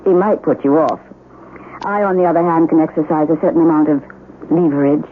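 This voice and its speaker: A woman's voice: low voice, fairly even intonation, brisk, close to an everyday voice. An actress playing a gracious, curious widowed lady of the house in a 1970s radio drama, speaking in a refined, formal way. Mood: charming, assured. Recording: tinny vintage radio recording, background noise.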